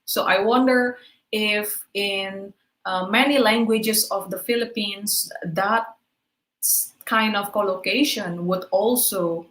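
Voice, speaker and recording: A woman's voice: medium pitch, slow, a bit bright. Woman lecturing in an echoey room. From Indonesia. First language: Indonesian. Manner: explanatory, checks in with "right?". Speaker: webinar speaker. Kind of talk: online lecture to teachers.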